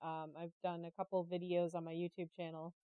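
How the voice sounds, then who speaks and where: A woman's voice: on the low side, little pitch movement, fairly quick, clear, resonant tone, no echo, narrow, tinny audio. A woman chatting casually as an interview guest, with a giggly, easily amused manner. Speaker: interview guest, artist and creative director.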